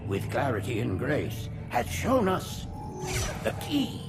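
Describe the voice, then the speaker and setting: An actor voicing an ancient, frail male alien priest-ruler who speaks in high, quavering, fervent proclamations. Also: wildly swooping pitch, slow, full character voice. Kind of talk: video game cutscene acting. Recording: game soundtrack with music behind.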